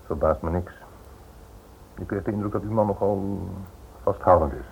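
A man playing a courteous Scotland Yard police inspector in a radio play, measured and politely firm as he warns. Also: deep voice, fairly even intonation, vocal fry. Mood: firm warning. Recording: tinny, noisy old radio play recording.

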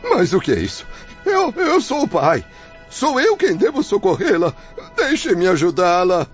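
A desperate, remorseful father in a radio drama, anguished and pleading. His highish voice swings wildly in pitch. The sound is dull and lo-fi, with music behind. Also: huge pitch swings, measured pace, full character voice. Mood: agitated, pleading. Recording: dull lo-fi audio under music.

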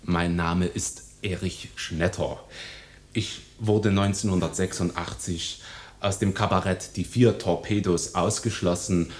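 Brief: a slow, low-voiced comic, dry and deadpan, spells out his own gags. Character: East German comedian, dry joke-teller. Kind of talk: 1990s radio play acting.

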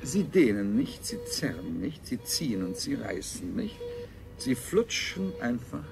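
A man's voice: medium pitch, very animated, unhurried, vocal fry. A man playing a fussy, coldly proud colony scientist in a stylised radio play, speaking in a smug, clinical way. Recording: radio drama with prominent music.

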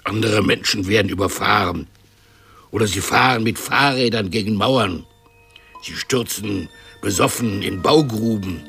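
A man's voice: fairly low pitch, measured pace, vocal fry, a bit bright, slightly rough, faint music behind, full character voice. A man playing a scornful, impatient bully in a radio drama, speaking in a sardonic, declamatory way. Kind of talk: 1970s German radio drama acting.

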